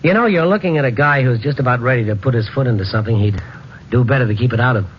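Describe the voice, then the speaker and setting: A man's voice: mid-range voice, very fast, slight background noise, natural voice. A man acting a world-weary, easygoing investigator who narrates his own tale in old-time radio drama, wry and colloquial. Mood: casual, uneasy. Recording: muffled vintage radio recording.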